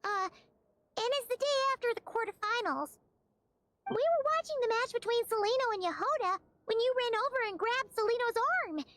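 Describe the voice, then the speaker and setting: A woman's voice: very high-pitched, lively intonation, moderate pace, a bit bright. A woman voicing a small, childlike, caring companion creature in a cartoonish video game style. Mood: concerned.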